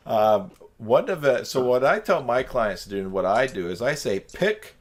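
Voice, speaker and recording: A man's voice: mid-range voice, lively intonation, moderate pace. A man hosting an informal live group video chat, talking in a casual, rambling way. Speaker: live stream host.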